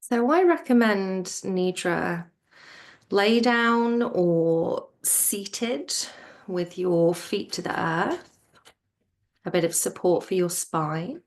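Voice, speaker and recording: A woman teaching yoga nidra in a soothing, gentle manner, her soft voice trailing off toward a near-whisper as she guides relaxation. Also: lowish voice. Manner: soothing, gentle, unhurried with pauses.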